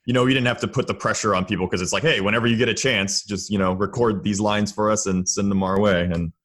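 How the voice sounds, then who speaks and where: A man's voice: fairly low pitch, steady tone, quick pace, fairly bright tone. A man talking casually as a guest writer in a podcast interview.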